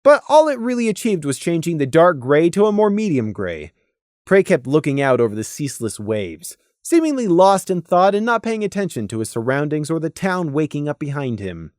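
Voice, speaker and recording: Expressive storybook narrator, close dry sound. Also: mid-range voice, moderate pace, dry recording. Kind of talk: scripted audiobook narration of fan fiction.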